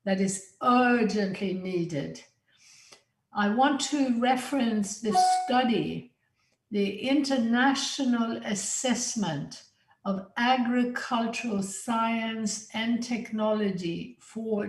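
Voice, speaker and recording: A woman from Sweden giving an earnest, deliberate conference talk as an invited speaker. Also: medium pitch. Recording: echoey conference hall.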